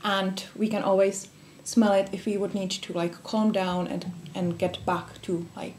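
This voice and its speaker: A woman's voice: medium pitch, little pitch movement, unhurried, a little mellow. Czech-accented woman giving a friendly talk. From Czech Republic. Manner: friendly, many fillers and restarts. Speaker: speaker giving a workshop talk. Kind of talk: casual spoken talk to a small group. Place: room with a group of listeners.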